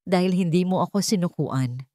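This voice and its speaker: Gentle teenage girl read by a female narrator, polite and grateful, sincere storybook delivery, dry sound. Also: fairly low pitch, moderate pace, no room sound, natural voice. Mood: grateful, tender.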